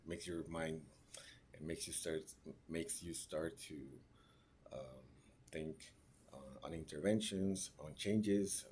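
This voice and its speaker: A man gives a class presentation. He pauses often and searches for words, checking in with short tag questions as he goes. A faint hiss of noise sits under the recording. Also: a bass voice, a little mellow. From Mexico (raised in Ciudad Juárez). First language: Spanish. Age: 30s. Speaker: registered nurse.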